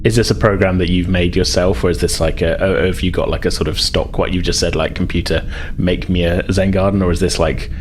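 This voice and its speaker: A man with a low voice acts as game master, describing scenes off the cuff. His phrasing is hesitant and conversational, and faint noise sits behind the recording. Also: fairly even intonation, fairly quick, few pauses. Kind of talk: improvised tabletop game narration.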